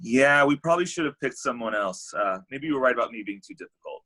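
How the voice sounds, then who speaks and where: A man's voice: medium pitch, animated, quick pace, bright, forceful tone. A man in a sitcom table read playing a lovelorn, cocky, needy matchmaker in a sardonic, conversational way. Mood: deflated.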